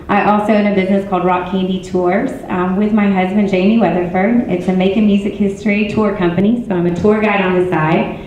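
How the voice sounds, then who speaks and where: Hoarse Southern woman in an echoey hall. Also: medium pitch, darkish tone, slight background noise. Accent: Southern American. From Georgia, US. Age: 40s. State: hoarse, strained voice. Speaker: political candidate. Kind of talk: campaign speech at a forum. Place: city auditorium. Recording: echoey hall, muffled sound.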